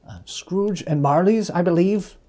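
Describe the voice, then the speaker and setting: A reader acting a courteous, hesitant gentleman, pitched high. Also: unhurried, natural voice. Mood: polite, hesitant. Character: polite, hesitant, portly gentleman collecting for charity.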